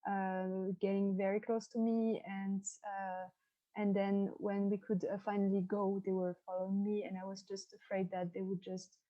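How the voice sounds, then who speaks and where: A woman's voice: medium pitch, little pitch movement, moderate pace. A French woman in her twenties with a French accent, hesitantly telling a personal story on a podcast. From France. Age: 20s. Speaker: podcast participant. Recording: dull lo-fi microphone sound.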